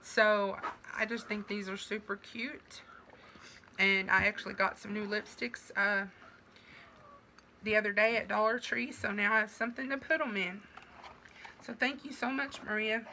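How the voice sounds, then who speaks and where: A woman's voice: mid-range voice, fairly even intonation, normal speed, slight background noise. A middle-aged woman, chatting as she opens mail in her living room. Her voice is going from a sinus infection, and she gushes her thanks. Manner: gushing, warm thanks with fillers. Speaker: vlogger. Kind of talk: casual mail-opening vlog.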